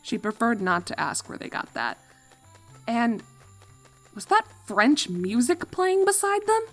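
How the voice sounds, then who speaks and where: A woman's voice: fairly high pitch, big pitch swings, unhurried. Woman narrating a story over faint music. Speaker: fan fiction narrator. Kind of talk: expressive scripted story narration.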